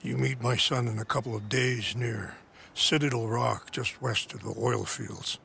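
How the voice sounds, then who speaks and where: Grave old chief, very gravelly. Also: male voice, mid-range voice, fairly even intonation, pushed and bright, a little hiss or hum, heavily acted. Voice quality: gravelly, measured.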